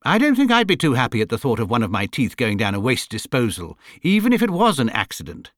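A no-nonsense older housekeeper from a storybook, voiced by a male reader. She is firm, fair and brisk, with animated pitch in a dry recording. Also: no room sound. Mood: firm, sympathetic.

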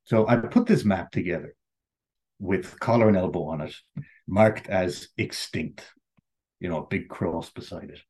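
Irish male guest, deep voice, slightly husky, loose chatty storyteller. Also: expressive, measured pace, darkish tone. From Ireland. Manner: rambling, full of "you know" and "like". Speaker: interview guest. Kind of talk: casual conversational storytelling.

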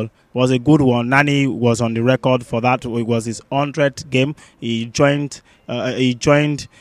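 Halting male sports co-host, close dry sound. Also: medium pitch, dry recording. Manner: halting, repeats words. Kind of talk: conversational sports roundup.

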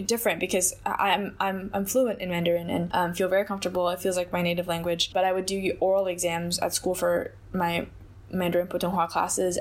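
A young woman from Hong Kong, an interview guest speaking in a reflective, conversational way with lots of hedging and filler words. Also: mid-range voice. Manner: thoughtful, many hedges and fillers like "like" and "I think".

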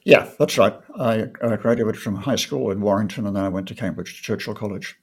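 An older man from North Wales talks through his past. His voice is gravelly and slightly husky, set fairly low. He is measured and thoughtful, often pausing on ums and restarting his sentences. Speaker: guest economist. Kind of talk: conversational interview.

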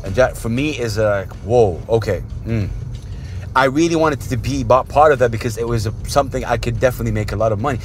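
A man's voice: medium pitch, fast, slight background noise. A man from Saudi Arabia talking casually and earnestly in a podcast conversation, with informal hip-hop slang. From Jeddah, Saudi Arabia.

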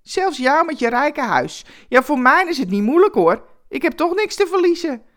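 Woman playing a loud, exuberant homeless man. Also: sits high, sing-song, moderate pace, voice pushed up. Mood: loud, earnest. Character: boisterous, enthusiastic homeless man, rough and talkative. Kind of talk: acted Christmas radio story.

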